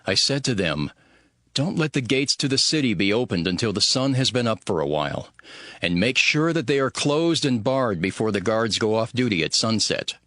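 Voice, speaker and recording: Low-voiced, earnest ancient governor. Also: male voice, lively intonation, moderate pace, bright, forceful tone, no echo, clean studio sound. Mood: earnest, measured. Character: steadfast, devout male governor of ancient Jerusalem telling his story. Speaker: first-person narrator. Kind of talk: dramatized scripted narration.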